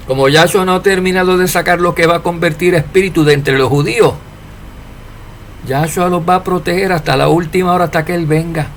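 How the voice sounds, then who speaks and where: A man preaching an informal sermon to his followers, asking rhetorical questions and swinging between loud and soft. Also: a little high, slight background noise. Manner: preachy, rhetorical questions, animated rises and drops in loudness.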